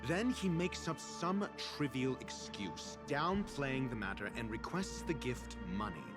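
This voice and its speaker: An actor playing a haughty, blunt intellectual in a video game, articulate, dry and condescending. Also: male voice, mid-range voice, expressive, a little slow, over loud music, close to an everyday voice. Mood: dramatic, assured.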